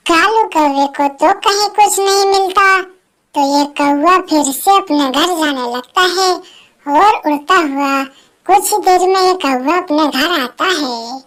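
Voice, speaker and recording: A woman narrates a children's animal story in a very high voice. Her storytelling is slow and simple, in a storybook style. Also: a bit bright.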